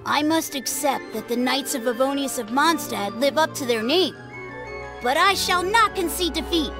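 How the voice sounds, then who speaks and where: Excitable game boy, very high voice, grandiose and boastful, clear music behind. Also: moderate pace. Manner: dramatic, grandiose declarations. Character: excitable, boastful young boy dreaming of swordsmanship. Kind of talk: video game character dialogue.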